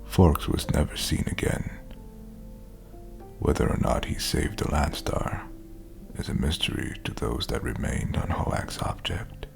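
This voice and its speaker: A man narrates the close of a saga in an exceptionally deep voice full of gravel. Soft music plays behind him. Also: expressive, measured pace. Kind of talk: scripted story epilogue narration.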